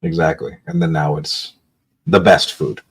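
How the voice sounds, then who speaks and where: A man's voice: a bass voice, flat delivery, unhurried, husky. A man from California, a real estate investor, chatting casually on a phone call.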